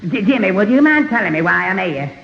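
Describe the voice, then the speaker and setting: Daft stammering lad, very high voice, old radio comedy, echoey and hissy. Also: male voice, very animated, fast, creaky, faint music behind, heavily acted. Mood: confused. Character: dim, eager, stammering gormless young lad. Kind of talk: 1970s radio sitcom acting. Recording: dull, echoey, noisy old radio broadcast.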